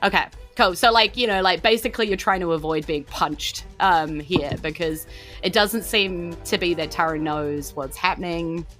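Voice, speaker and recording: Bright-voiced New woman running a game. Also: fairly low pitch, normal speed, soft background music. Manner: informal, animated storytelling. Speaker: game master. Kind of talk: improvised tabletop game narration.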